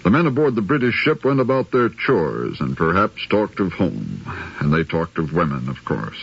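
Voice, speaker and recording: A man's voice: low-pitched, big pitch swings, normal speed, gravelly, slightly rough. A man giving dramatic scripted narration in the manner of a 1950s radio drama. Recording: dull 1950s radio recording.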